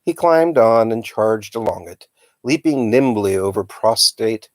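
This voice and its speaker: A man reading a children's novel aloud as a storyteller, with a dramatic, expressive delivery. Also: fairly low pitch, unhurried, no echo. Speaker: storytime reader.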